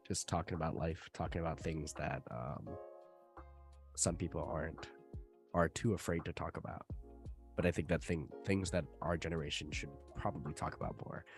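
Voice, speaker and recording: Low-voiced young man hosting a podcast. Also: darkish tone. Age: young adult. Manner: hesitant, many ums, laughs easily. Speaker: host. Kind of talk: casual podcast chat.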